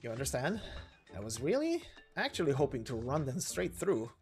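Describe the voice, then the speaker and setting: A man voicing a brash, battle-hardened lance knight, proud and bitter, with a blunt, halting delivery in a game story. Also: medium pitch, wildly swooping pitch, darkish tone, soft background music. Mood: bitter, intense.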